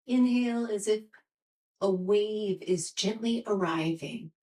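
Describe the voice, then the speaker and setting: A woman teaching restorative yoga, guiding a meditation in a soothing, gentle, unhurried manner. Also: mid-range voice, far from the mic.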